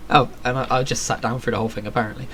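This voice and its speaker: A man's voice: mid-range voice, fairly bright tone, slight background noise. A man live-streaming a game, reacting casually and wryly and reading quest text aloud. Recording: game music behind. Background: game music.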